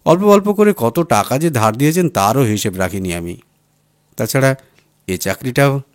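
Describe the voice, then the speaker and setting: A man's voice: medium pitch, lively intonation, normal speed, no room sound, studio quality, natural voice. A man reading an audiobook voices a down-at-heel, apologetic jobless writer who talks in a familiar, plaintive way. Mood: embarrassed, pleading. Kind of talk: audiobook character dialogue.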